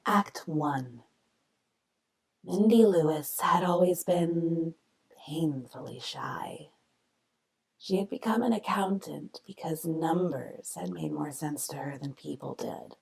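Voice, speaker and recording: Low, gravelly woman mystery narrator. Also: slow. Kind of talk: scripted radio mystery narration.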